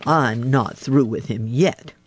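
A reader as a snarling, husky, boastful fox. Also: medium pitch, dry recording. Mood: snarling menace. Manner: snapping, snarling, drawn-out. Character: sneering boastful male fox, sly and snappish.

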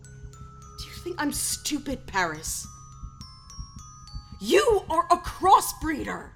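A woman's voice: high voice, very animated, slow pace, vocal fry, a bit bright, soft background music, exaggerated voice. A woman playing a fiery, scornful space adventurer in an audio drama, cutting and emphatic. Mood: angry, contemptuous.

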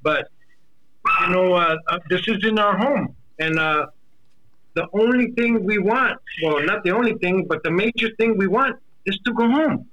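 A man from Mexico giving earnest personal remarks as a guest, halting and restating his points for emphasis. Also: sits high, expressive, sharp tone, dull audio, slight background noise. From born in Mexico. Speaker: interview guest, deported military veteran.

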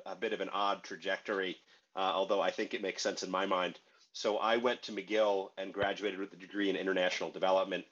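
An American man, a startup co-founder, recounting his career in a relaxed, conversational interview manner. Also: low-pitched, highs cut off. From United States. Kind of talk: podcast interview.

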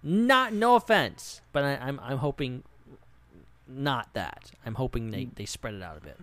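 Man, average pitch, informal podcast chat, rambling, lots of filler words, dry echo-free recording. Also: very animated, unhurried, fairly bright tone. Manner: rambling, filler-heavy with frequent "like". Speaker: podcast co-host. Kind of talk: casual pop-culture discussion.

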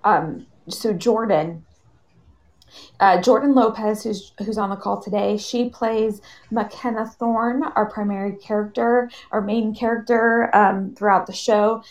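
A woman moderates a live panel in a voice with noticeable creak. She is measured as she introduces guests and frames questions, pausing along the way. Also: mid-range voice, steady tone, lo-fi sound. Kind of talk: hosting a live panel discussion.

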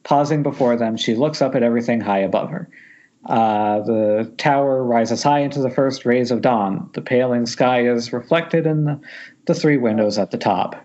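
A man's voice: medium pitch, normal speed, a little mellow. A man reading script narration aloud at a table read, with casual asides. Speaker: stage directions reader. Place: remote group voice call. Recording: muffled internet call audio.

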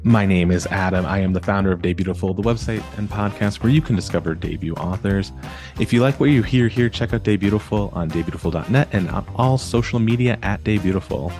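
A man's voice: deep voice, fairly quick, darkish tone, faint music behind. A man hosting a podcast, moving between scripted introductions and casual, self-correcting interview chat. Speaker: podcast host.

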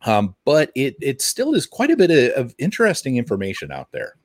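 Male podcast commentator, medium pitch, explains things conversationally, very little room echo. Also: huge pitch swings, fairly quick, darkish tone, clean studio sound. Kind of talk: casual conversational tech commentary.

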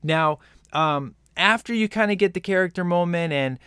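A man's voice: high-pitched, normal speed, bright, forceful tone, clear, resonant tone, no room sound, clean studio sound. A man co-hosting a casual movie-review chat, rambling and enthusiastic with lots of fillers. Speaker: podcast co-host reviewing a film.

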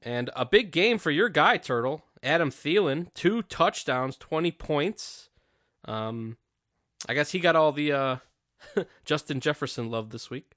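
A man with a bright, forceful voice leads a laid-back sports chat. He rambles a bit and trails off mid-thought. The sound is dry and close. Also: a little high, a little slow, dry recording. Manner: relaxed, rambling, trails off often. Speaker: podcast host. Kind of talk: casual chat.

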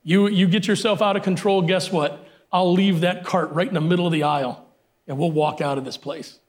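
A middle-aged male pastor preaching a sermon emphatically, repeating his key phrases, his voice sometimes hoarse. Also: high voice, steady tone, a little fast.

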